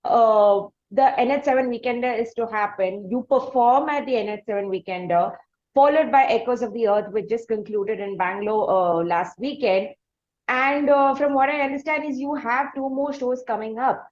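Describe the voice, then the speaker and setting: High-pitched Indian woman hosting, slightly muffled. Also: normal speed, a little mellow. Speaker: podcast host interviewing a musician. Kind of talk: conversational podcast interview.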